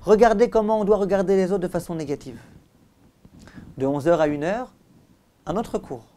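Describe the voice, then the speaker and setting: Mellow-voiced man teaching a religious lesson. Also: high voice, moderate pace. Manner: emphatic, didactic, repeats phrases for effect; rhetorical questions and direct address to listeners. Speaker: rabbi giving a Torah lesson. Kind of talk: religious lecture, teaching aloud to listeners.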